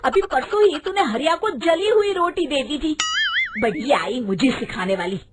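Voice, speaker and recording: A woman acts out an easily angered mother-in-law who cooks at a roadside eatery. Her voice is very high and swings widely. She scolds with indignant sarcasm in animated storybook style. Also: normal speed, loud music behind the voice. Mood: indignant, scolding. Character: sharp-tongued, easily angered mother-in-law, dhaba cook. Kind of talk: animated Hindi storybook voice acting.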